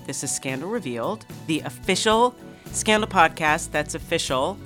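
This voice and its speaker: A woman's voice: very deep voice, fairly bright tone. A woman hosting a podcast, nasal from a cold, bubbly and gushing with superlatives. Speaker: podcast host. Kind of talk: casual podcast chat.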